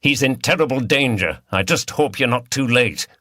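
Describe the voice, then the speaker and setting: Man voicing a commanding, bright-edged senior police detective. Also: medium pitch, animated, moderate pace, no room sound, studio quality. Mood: urgent. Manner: authoritative, explanatory. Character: commanding male police detective in charge, brisk and urgent.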